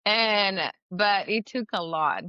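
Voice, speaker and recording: A woman's voice: medium pitch, slow pace, smooth, no room sound. A woman from Guatemala chatting casually as an interview guest on a podcast, in slightly dull audio. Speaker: podcast guest, business owner.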